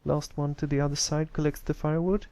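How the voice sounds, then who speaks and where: A man's voice: a little high, little pitch movement, fast talker, mellow, no room sound, pitched above the performer's own voice, close to an everyday voice. A man voicing a confident, kindly young big-brother pony in an easygoing, reassuring way. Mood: cheerful.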